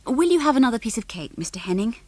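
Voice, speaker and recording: A woman's voice: fairly high pitch, big pitch swings, fast talker, darkish tone, dry recording, pitched above the performer's own voice. A woman voicing a weary farm housewife who speaks in an anxious, soothing way.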